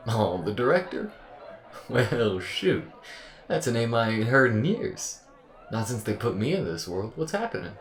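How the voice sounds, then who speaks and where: A man's voice: mid-range voice, sing-song, a little slow, roomy sound. A man playing a cheery, folksy, sweet-talking AI helper with a Southern US accent, in a scripted audio roleplay. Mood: folksy, upbeat. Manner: chirpy, friendly, chuckling, folksy.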